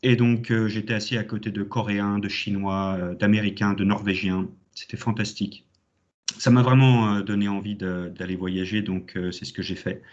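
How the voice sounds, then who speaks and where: A fairly low-voiced man in his thirties talks with enthusiasm and plenty of hesitant fillers. He is giving an alumni talk at an online conference. The audio is dull and lo-fi, as on a video call. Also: level tone, moderate pace. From Champagne, France. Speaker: alumni guest speaker.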